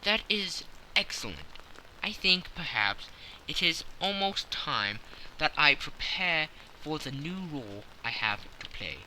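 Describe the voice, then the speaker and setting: Man playing a commanding detective, sharp and bright. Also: fairly high pitch, sing-song, unhurried, slight background noise, exaggerated voice, low-quality recording. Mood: pleased, assured. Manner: precise, instructing, authoritative. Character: commanding, keen-minded male detective, briskly self-assured. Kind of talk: audiobook character dialogue.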